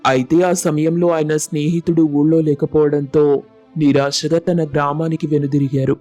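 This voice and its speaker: Honest young villager for a storybook tale, played by a man: humble, respectful, higher male pitch, very even intonation, faint music beneath. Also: normal speed, dry recording, natural voice. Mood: earnest, explaining. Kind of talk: acted moral storybook dialogue.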